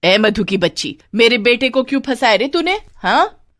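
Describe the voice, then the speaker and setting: A woman's voice: sing-song, moderate pace, a bit bright. A woman voicing a harsh, scolding middle-aged mother-in-law in a cartoon story. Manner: shrill, scolding.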